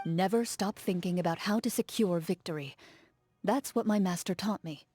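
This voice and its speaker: An actress playing a stoic young woman duelist, speaking in a calm, measured, formal and reserved way. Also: on the low side, faint music behind, lightly acted. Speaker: game character. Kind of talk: game character voice lines.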